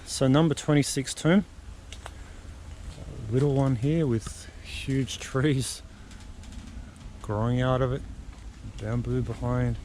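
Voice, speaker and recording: Slow-talking man narrating an outdoor walk. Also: medium pitch, steady tone, a little mellow. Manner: chatty, muses aloud while exploring. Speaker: travel vlogger. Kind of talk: casual walking commentary. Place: outdoors in rural countryside. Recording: outdoor camera audio with noise. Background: wind and outdoor ambience.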